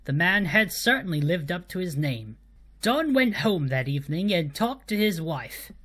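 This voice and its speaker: A woman narrating a humorous scripted story as a storyteller, with deliberate emphasis on the punchlines. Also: lowish voice, very animated, a bit bright.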